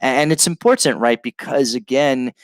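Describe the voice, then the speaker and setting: A man teaching English on a live stream, reading out viewer comments and chatting about them in a relaxed way, with lots of fillers and restarts. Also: medium pitch, normal speed, dry recording. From Brazil and California, United States.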